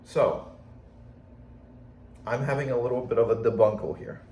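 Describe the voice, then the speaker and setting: A man's voice: medium pitch, fairly even intonation, moderate pace, mellow, slight background noise. A young man with a slight Polish accent, rambling frankly and swearing casually in a video journal. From Poland. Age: young adult. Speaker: vlogger. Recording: echoey room, faint ambience.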